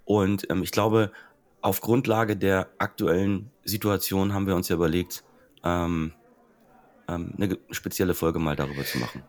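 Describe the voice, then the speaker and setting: A man co-hosting a casual podcast chat, slightly hoarse from a cold, talking haltingly with many fillers. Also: deep voice, steady tone. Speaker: podcast co-host.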